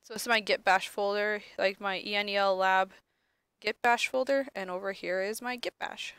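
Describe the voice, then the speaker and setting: A young woman lab instructor, sniffly from allergies, talking casually through a screen-recorded software tutorial with many ums. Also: mid-range voice, fairly even intonation, moderate pace, clear, resonant tone, dry recording, clean studio sound.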